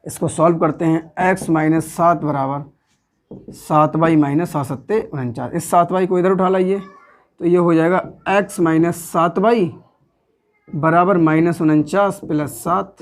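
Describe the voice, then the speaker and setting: A man's voice: on the high side, unhurried. A man with a Hindi accent teaching maths step by step, asking the class questions and answering them himself, patiently repeating each step. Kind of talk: classroom lesson, explaining step by step.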